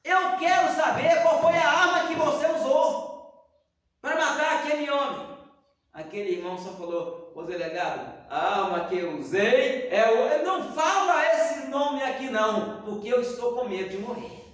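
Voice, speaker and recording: A man's voice: extremely high pitch, moderate pace. A man teaching a church Bible lesson, telling stories and acting out the dialogue. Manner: animated storyteller acting out dialogue. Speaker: Sunday school teacher. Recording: echoey hall, distant mic.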